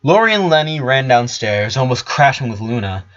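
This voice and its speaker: A man's voice: medium pitch, sing-song, normal speed, fairly bright tone. A man narrating a story in a dramatic reading style. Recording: dull lo-fi sound.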